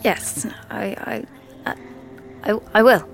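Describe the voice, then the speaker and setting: A woman's voice: mid-range voice, takes it slowly, creaky, faint music behind. A woman playing a timid, troubled young would-be poet in a radio drama, hesitant and fragile. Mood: timid, haunted.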